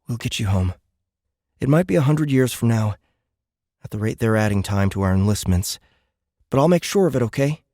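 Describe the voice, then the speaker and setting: A man's voice: mid-range voice, lively intonation, moderate pace, pauses often, dark tone, no echo, studio quality, lightly acted. A man voicing a weary, protective teenage boy who reassures younger kids in a science fiction audiobook. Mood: reassuring.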